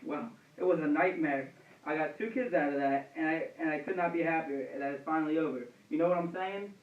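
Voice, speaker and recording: A man's voice: on the high side, fairly quick, phone-quality sound, a little hiss or hum, close to an everyday voice. A young man playing a cheerful, self-assured 1920s film star in a scripted radio interview. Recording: echoey room, distant mic, dulled.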